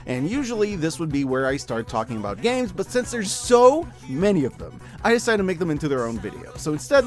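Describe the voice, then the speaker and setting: A man narrating a scripted review video as its host, in a casual, wry and expressive way. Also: on the high side. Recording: background music under the voice. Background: background music.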